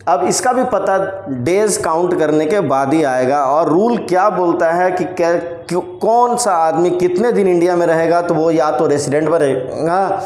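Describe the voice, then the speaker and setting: An Indian man teaching a commerce class, explaining slowly and checking in with his students after almost every point. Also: fairly high pitch, a little mellow. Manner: explains slowly, repeats points, frequent "theek hai" check-ins. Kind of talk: classroom lecture on tax rules. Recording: roomy echoey room sound.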